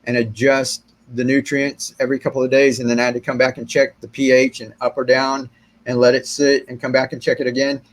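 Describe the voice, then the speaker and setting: A middle-aged man hosting a gardening livestream Q&A, slightly raspy from long talking, rambling and relaxed as he answers viewer comments. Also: mid-range voice, brisk. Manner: rambling, relaxed, many restarts and fillers, gestures with hands. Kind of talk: casual live-stream chat, reading and answering viewer comments. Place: small indoor room with shelves of plants.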